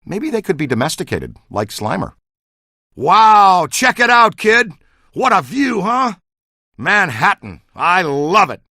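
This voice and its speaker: Game role: sardonic, playful ghost hunter, higher-pitched, very lively delivery, glib. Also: male voice, slow pace, dry recording, clean studio sound, exaggerated voice. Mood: excited. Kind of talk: video game voice acting.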